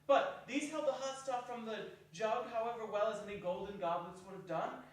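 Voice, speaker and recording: A man's voice: high-pitched, fairly even intonation, fairly quick. A man giving a theatrical storybook narration as one of the readers in a staged reading. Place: indoor performance hall. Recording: echoey hall from far off.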